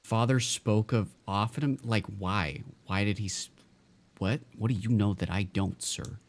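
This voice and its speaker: A man's voice: on the low side, a little slow. A man casually commenting while he streams a video game, rambling with many stammers and fillers.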